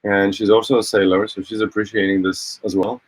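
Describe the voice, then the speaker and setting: A man whose first language is Polish, co-hosting a casual livestream from a boat, talking in halting phrases full of fillers and restarts. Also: lowish voice, little pitch movement, husky, poor audio. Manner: hesitant, many fillers and restarts. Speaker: co-host of a sailing channel livestream.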